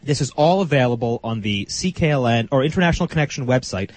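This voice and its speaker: A man co-hosting a community radio talk show, chatting and reading station announcements at a brisk clip. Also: medium pitch, expressive, no room sound. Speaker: radio co-host. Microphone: studio microphone.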